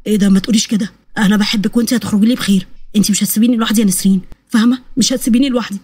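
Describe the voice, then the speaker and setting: Woman doing a lowered-voice, cajoling Egyptian husband. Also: steady tone, racing, darkish tone, breathy edge, no echo, lightly acted. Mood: anxious, tender. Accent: Egyptian Arabic. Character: adult husband, hurried, placating, devoted.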